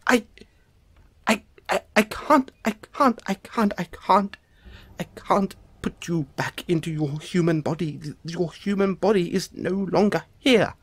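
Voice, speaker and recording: A man playing an anxious, apologetic dollmaker in an audio roleplay, stammering and halting as he speaks to the listener. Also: high voice, breathy edge, no echo, heavily acted. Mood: panicked, defensive. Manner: stammering, halting, flustered, placating. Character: anxious, apologetic male dollmaker who dabbles in soul magic.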